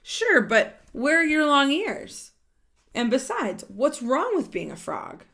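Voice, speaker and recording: A woman's voice: high-pitched, huge pitch swings, takes it slowly, vocal fry, sharp tone, pitched above the performer's own voice, heavily acted. A woman voicing a patient grown-up frog who explains things to a little frog in a storybook. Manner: firm, explaining.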